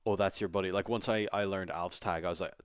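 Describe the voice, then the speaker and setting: A man hosting a casual interview, asking questions in a relaxed, friendly way. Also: low-pitched, quick pace, clear, resonant tone, dry recording, studio quality. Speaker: interviewer, host.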